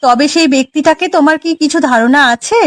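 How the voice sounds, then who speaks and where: A woman's voice: sits high, fast talker, lightly acted. A woman playing a concerned, practical village housewife in a cartoon, speaking in an earnest way. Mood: resolute. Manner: earnest, questioning. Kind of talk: Bengali cartoon story acting.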